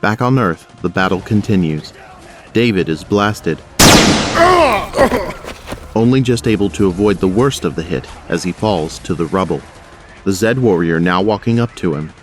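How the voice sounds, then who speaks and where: A man's voice: deep voice, moderate pace, long pauses, noisy background. A man narrating a scripted audio drama.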